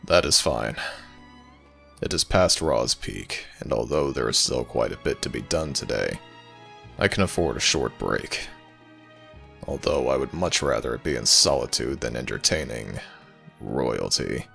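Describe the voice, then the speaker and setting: A man voicing a stern, weary god of the dead in an ASMR audio roleplay, formal and commanding, with a gravelly voice. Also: rumbling low, lively intonation, a little slow, long pauses, pushed and bright, soft background music, full character voice.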